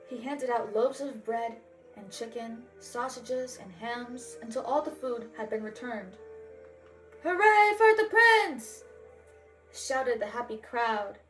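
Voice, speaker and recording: Slow, higher-voiced woman reading a storybook. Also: low-quality recording. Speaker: storybook reader and channel host. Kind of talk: storybook read-aloud narration.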